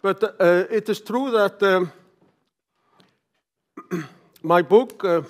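Older Icelandic man lecturing, higher voice. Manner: halting, with throat clearing. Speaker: professor giving a talk. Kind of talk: conference lecture. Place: conference hall stage, at a lectern.

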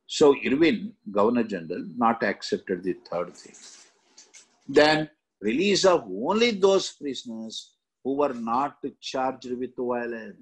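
A middle-aged man with an Indian accent and a husky voice, teaching exam questions in emphatic, choppy phrases with frequent check-in tags. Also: mid-range voice, animated, a little slow, poor audio. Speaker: exam coaching history teacher. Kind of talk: lecture explaining exam questions.

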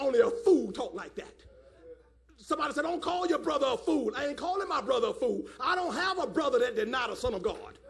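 Gravelly, very high-pitched male preacher. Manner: emphatic, repetitive, often shouting. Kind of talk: fiery call-and-response sermon.

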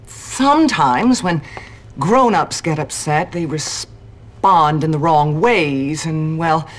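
A woman voicing a lovelorn, bitter young teacher in a video game, hesitant and dramatic. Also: deep voice. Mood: gentle, evasive.

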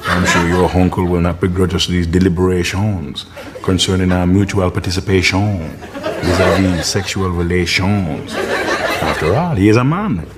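A man's voice: low voice, moderate pace, very noisy, lightly acted. A man playing a pompous leering churchgoer. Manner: pompous innuendo-laden flirting. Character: lecherous smooth-talking male churchgoer.

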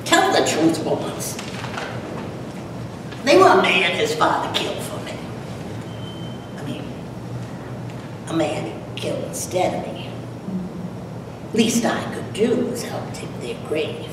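A woman plays a haunted old farm widow with a buried secret, telling it theatrically in a lowish voice. It is a dramatic poetry reading, heard from far off in a very echoey, noisy hall. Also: sing-song, slow pace, pauses often. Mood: bitter confession. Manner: theatrical, storytelling. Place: large lecture room, at a podium. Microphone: distant camera microphone.

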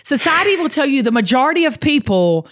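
A woman's voice: high-pitched, big pitch swings, a little fast. A woman with a Southern US accent hosting a motivational talk podcast, emphatic and folksy, repeating phrases to drive them home. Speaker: show host.

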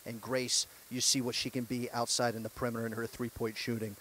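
A young man hosting a post-game show, asking upbeat, brisk questions on a handheld mic. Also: mid-range voice, flat delivery, fairly bright tone, slight background noise, low-quality recording. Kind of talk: sports interview. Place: courtside set in an empty arena.

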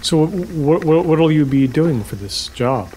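An actor in an audio drama playing an awkward, apologetic male guesthouse host, with a hesitant, stammering and halting delivery. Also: on the high side, lively intonation, darkish tone, slight background noise. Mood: nervous, apologetic. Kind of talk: naturalistic audio drama acting.

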